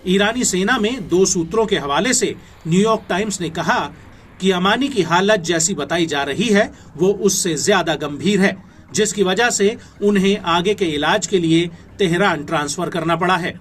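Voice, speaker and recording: High-pitched Indian male news narrator. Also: moderate pace, fairly bright tone, slight background noise. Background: background music.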